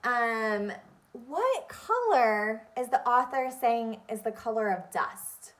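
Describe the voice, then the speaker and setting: Woman, elementary teacher, fairly high voice, very slow deliberate delivery, instructive, repeats key phrases, read-aloud lesson. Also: animated, fairly bright tone. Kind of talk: interactive read-aloud lesson to young children.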